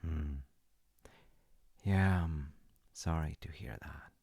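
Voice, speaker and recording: A man playing a gravelly, deep-voiced gentle dad. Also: dry recording, studio quality, full character voice. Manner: soft, reassuring, unhurried, drops to whispers at times. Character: caring middle-aged dad, gentle and patient. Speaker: voice actor. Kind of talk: audio roleplay.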